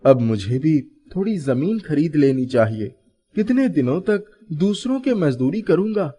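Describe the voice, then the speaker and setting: A man voices a humble young herdsman in a storybook tale, earnest and kind. His voice is fairly high, mellow and very animated. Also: a little slow.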